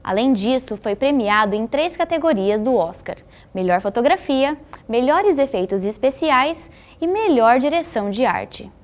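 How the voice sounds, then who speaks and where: A woman with a Brazilian accent and a fairly high voice delivers scripted narration. She reads in a measured, informative manner, like the voice-over of a documentary. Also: animated.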